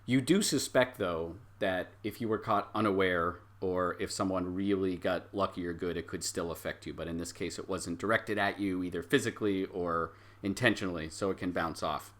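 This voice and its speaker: A man acting as game master, improvising narration for a tabletop game in a deliberate, explanatory way with pauses. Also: sits low, fairly bright tone.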